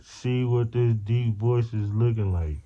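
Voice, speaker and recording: A man's voice: medium pitch, unhurried. A man, the vlogger's partner, chatting playfully at home and coaxing the unborn baby to come out. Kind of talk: casual home chat. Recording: dull lo-fi sound.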